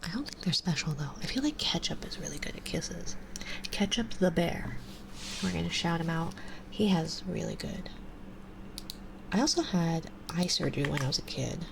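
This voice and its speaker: A woman in her twenties with a stuffy nose, chatting softly on a live ASMR stream and slipping into whispers. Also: fairly low pitch, normal speed, gravelly, noisy background. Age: 20s. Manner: soft and relaxed, drifting into whispers. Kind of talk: casual chat to a live stream. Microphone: binaural mic.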